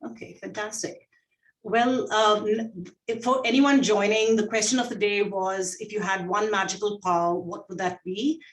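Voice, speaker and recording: Woman, Indian accent, gentle call co-host, pausing often; roomy, off-mic sound. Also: mid-range voice, steady tone, recorded from a distance. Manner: gentle, many fillers and pauses. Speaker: guest co-host, shelter veterinary director. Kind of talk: casual video call chat. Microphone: video call.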